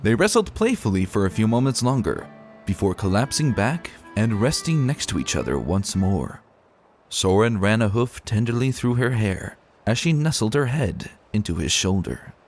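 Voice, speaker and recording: A male narrator reads a story in a fairly deep voice. His delivery is dramatic, with lively rises and falls in pitch. Music plays behind him. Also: normal speed.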